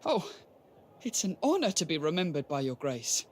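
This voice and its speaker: An actor playing a humble young merchant in an audio drama, speaking with polite, formal deference. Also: male voice, on the high side, very animated, moderate pace, a little hiss or hum. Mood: grateful, respectful. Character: humble, courteous, self-assured young male merchant. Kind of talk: video game audio drama acting.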